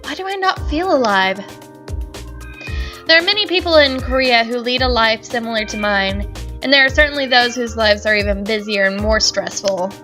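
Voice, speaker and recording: A woman's voice: a little high, moderate pace, bright, forceful tone, soft background music. A young woman narrating a visual novel as a let's play host, reading dramatically and dropping sarcastic quips. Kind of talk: reading game text aloud with wry asides.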